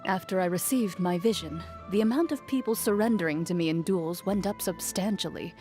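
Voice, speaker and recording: An actress as a poised young swordswoman from a fantasy game, her voice fairly low and very soft-edged. She speaks formally and with restraint, in measured, composed phrases, over background music. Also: close to an everyday voice. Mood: measured, reflective. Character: cool, composed young woman, champion duelist of a fantasy court. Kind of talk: scripted video game character voicelines.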